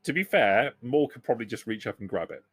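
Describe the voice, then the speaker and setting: A man's voice: mid-range voice, expressive, a bit bright, husky, no room sound. A man narrating a tabletop game as game master, improvising and often rephrasing as he goes. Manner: hesitant, halting, often rephrasing.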